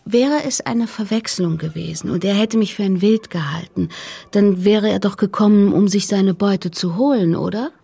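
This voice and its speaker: A dignified grieving mother in a radio drama. The voice is dark and husky with a lot of creak, and the delivery is measured, firm and reserved. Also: medium pitch. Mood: grave, convinced. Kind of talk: German radio drama dialogue.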